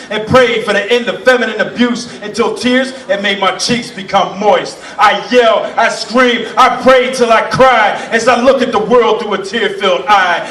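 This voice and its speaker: A man's voice: high-pitched, pushed and bright, roomy sound, recorded from a distance, low-quality recording. A man performing spoken word poetry on stage in a rhythmic, impassioned, rhyming delivery. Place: performance venue. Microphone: stage mic, heard from a distance.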